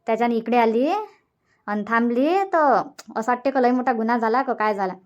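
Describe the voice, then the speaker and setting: A woman's voice: on the high side, fairly quick, highs cut off, close to an everyday voice. A woman playing a fretful, regretful village sister in a cartoon comedy, plaintive and repetitive, with a Varhadi Marathi accent. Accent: Varhadi Marathi of Vidarbha. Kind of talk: dialect cartoon comedy dialogue.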